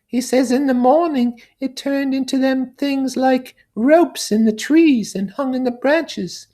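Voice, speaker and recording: A man's voice: extremely high pitch, measured pace, warm tone, voice pushed up. A man voicing a small, frightened, earnest little boy in a storybook reading. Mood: anxious, earnest. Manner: childlike, earnest. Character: small frightened little boy's mouthpiece, reporting a beastie.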